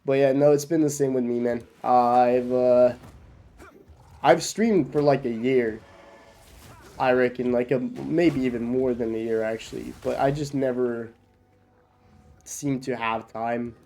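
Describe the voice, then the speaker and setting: A man's voice: mid-range voice, fairly even intonation, measured pace, pauses often, mellow. A young man from Norway who is sick with a cough, chatting loosely with viewers while streaming a game, with lots of fillers and restarts. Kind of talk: casual chat with stream viewers while gaming.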